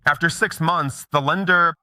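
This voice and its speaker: A man's voice: fairly high pitch, steady tone, moderate pace, sharp tone, no room sound, studio quality. A middle-aged man telling stories in a conversational conference talk, often checking in with the audience. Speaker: mortgage broker giving a conference talk.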